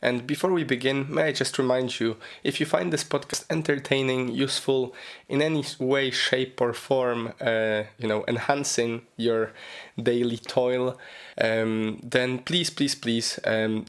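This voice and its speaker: A man hosting a solo podcast, his voice raspy and hoarse from a cold, talking loosely with lots of ums and you-knows. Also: mid-range voice, steady tone, unhurried. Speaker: podcast host. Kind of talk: casual solo podcast monologue.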